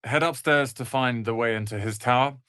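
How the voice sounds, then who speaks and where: A man voicing a proud, sharp-tongued young wizard's apprentice and protective brother in a fantasy video game, articulate and theatrical. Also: medium pitch, brisk, smooth, dry recording, studio quality, close to an everyday voice. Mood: haughty, composed.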